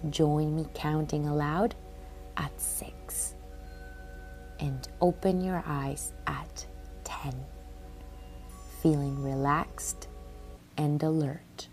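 A woman leads a guided meditation in a low, mellow voice. She reads very slowly and soothingly, leaving long pauses and letting phrases trail off softly and breathily. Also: steady tone. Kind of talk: guided relaxation meditation, scripted read-aloud. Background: music under the voice.

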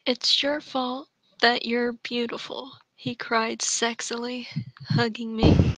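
A woman's voice: a little high, level tone, takes it slowly, dry recording, low-quality recording. A woman co-host in casual chat, reading a story aloud and commenting on it.

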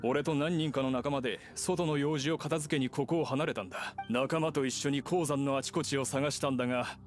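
A man's voice: medium pitch, fairly even intonation, brisk, close to an everyday voice. A man playing a worried, middle-aged mine foreman in an anime-style video game, with blunt, casual working-man speech. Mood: concerned, matter-of-fact. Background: background music.

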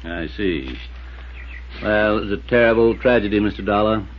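A thrifty, guarded country farmer from a 1950s radio play, performed by a man. His low rural American voice is folksy and moralizing. The old recording is dull and faintly noisy. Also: normal speed, close to an everyday voice. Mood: guarded, solemn. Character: thrifty, pious, guarded male New England farmer.

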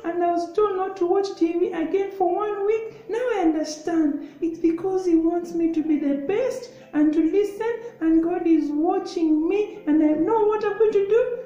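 An extremely high, soft-edged voice for a curious young boy puppet , acted out by a woman. He is childlike and excitable, full of questions and exclamations for a children's puppet show. The sound is roomy and a little dull. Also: moderate pace. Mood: earnest, repentant. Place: echoey room indoors. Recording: roomy, slightly dull home video sound.

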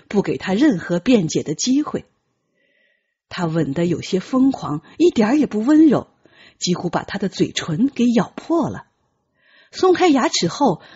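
A woman narrates an audiobook with dramatic, lively intonation. Her voice is quite husky, and the recording is slightly dull. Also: medium pitch.

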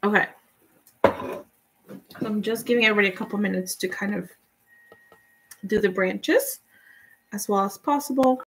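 A young woman from Guatemala whose first language is Spanish, chatting relaxed and giving step-by-step tips in a live painting tutorial. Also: mid-range voice, moderate pace, long pauses, low-quality recording. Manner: relaxed, chatty, laughs often, answers viewers' comments. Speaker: painting instructor.

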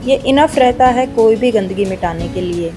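A woman's voice: on the high side, normal speed. Indian woman narrating a cleaning vlog. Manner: explains each step in a steady how-to tone. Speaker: home cleaning vlogger. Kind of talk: calm scripted voice-over narration. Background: background music.

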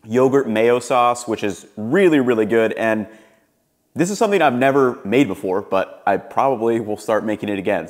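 A young man in his twenties, upbeat and relaxed, narrating his home cooking and chatting to the camera in his kitchen. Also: medium pitch. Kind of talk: cooking vlog voice-over and casual to-camera chat.

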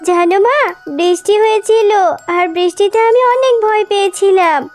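A woman's voice: very high voice, normal speed. A woman voicing an innocent little girl fox in a children's cartoon, childlike and earnest. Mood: anxious. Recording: music behind the voice.

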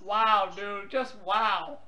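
A woman's voice: medium pitch, very slow, sharp tone. A woman chatting casually on a phone call in an exclamatory, incredulous manner. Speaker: prank call participant.

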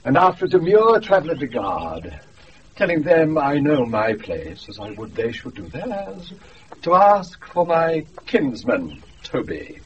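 A pompous British steward, savouring every phrase slowly with big swoops of pitch. It is classic radio Shakespeare, heard on a muffled, hissy off-air recording. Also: male voice, very animated. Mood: haughty. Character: pompous, self-infatuated male steward dreaming of nobility.

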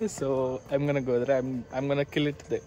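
A man's voice: medium pitch, little pitch movement, brisk, a little hiss or hum. A young man with an Indian accent, narrating a casual travel vlog as he goes. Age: young adult. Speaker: motovlogger. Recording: loud music over the voice. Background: loud music.

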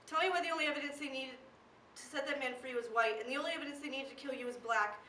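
A young female slam poet delivers spoken word with emphatic, rhythmic passion. Her voice is high and bright. She is recorded at a distance in an echoey room. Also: very fast. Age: teen or young adult. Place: live poetry event in a room. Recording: echoey room, distant mic, faint noise.

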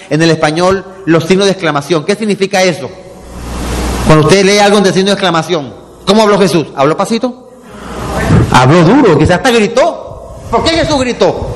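A middle-aged man preaching a sermon as pastor, animated, with many rhetorical questions to the congregation. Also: high-pitched. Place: church hall. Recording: reverberant hall with background noise.

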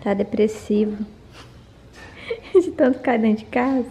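High-voiced Brazilian woman vlogging casually. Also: measured pace, dark tone. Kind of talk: casual chat to camera. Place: at home, covered garage and kitchen. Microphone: handheld camera. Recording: faint background noise.

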